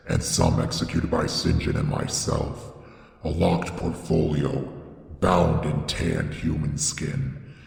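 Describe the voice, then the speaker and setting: Cavernous, extremely deep gravelly male narrator. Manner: deliberate, solemn, theatrical phrasing. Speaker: narrator reading a horror story. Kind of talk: dramatic scripted narration of gothic horror. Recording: echoey with long reverb.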